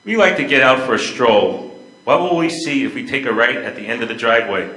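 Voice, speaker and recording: A man's voice: medium pitch, a little fast, pushed and bright, lightly acted. An older man in amateur readers theater playing a dry, teasing husband on holiday. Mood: wry. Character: wry, joking husband on holiday. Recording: echoey room.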